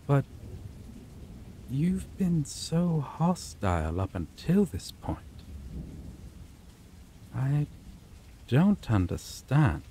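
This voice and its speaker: A man's voice: medium pitch, mellow. A man playing a possessive, coldly polite vampire captor in an ASMR roleplay, speaking formally and theatrically with menacing affection. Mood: coaxing, tender. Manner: slow, formal, theatrical, endearments laced with threat. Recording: rain and thunderstorm noise behind. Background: rain and thunder.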